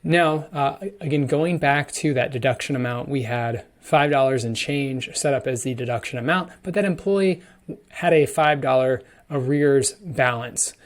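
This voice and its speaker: A man giving a conversational, explanatory walkthrough of software, as a product demonstrator would, stressing key words as he goes. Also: medium pitch. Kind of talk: screen-share software demo, explanatory walkthrough.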